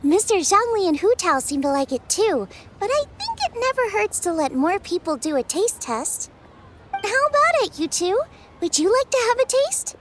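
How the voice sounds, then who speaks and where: A cheerful young chef, bubbly and enthusiastic. Her voice is very high and lively over game music. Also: normal speed, noisy background.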